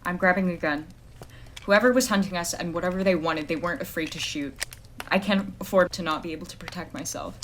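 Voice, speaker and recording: A woman playing a blunt, wary female private detective in an audio drama, measured and commanding in her delivery. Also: fairly low pitch, a little hiss or hum, close to an everyday voice. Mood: grim, firm. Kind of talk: audio drama acting with noir narration.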